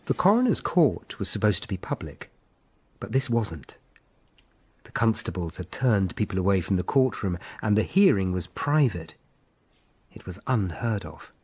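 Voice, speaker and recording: An actor playing a sober Tudor lawyer, narrating his investigation in the first person with a husky voice. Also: fairly low pitch, very animated, normal speed, darkish tone. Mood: indignant.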